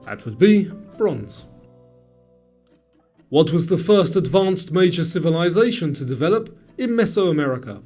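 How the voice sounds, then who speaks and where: A man hosting a quiz, reading scripted questions and instructions to the player in a clearly enunciated presenter's delivery, with music behind him. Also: on the high side, sing-song, unhurried, darkish tone. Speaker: quiz show host. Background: background music.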